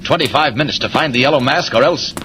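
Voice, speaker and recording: An actor playing a brave young reporter who is secretly a superhero, brisk, resolute and urgent, in 1940s radio serial style. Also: male voice, mid-range voice, lightly acted. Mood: urgent resolve. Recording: very noisy old radio recording.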